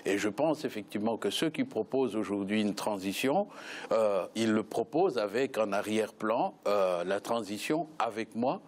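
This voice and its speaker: A man's voice: on the low side, lively intonation, normal speed. A man giving political analysis as a panel guest, often repeating words as he builds his argument. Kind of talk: political analysis in spoken debate.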